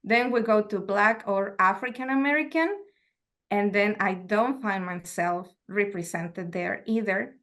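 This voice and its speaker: A woman's voice: mid-range voice, a bit bright. Woman giving a reflective lecture. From Mexico. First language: Spanish. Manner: measured, reflective, asks rhetorical questions. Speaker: university lecturer. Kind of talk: academic lecture.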